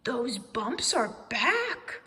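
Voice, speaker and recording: Storybook owl, male, fretful and indignant, high and swooping, stretching his words out, played by a woman. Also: sing-song, very slow, pushed and bright, in a large hall, heavily acted. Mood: frantic shouting. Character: anxious, indignant male owl, easily worked up. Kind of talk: children's storybook read-aloud.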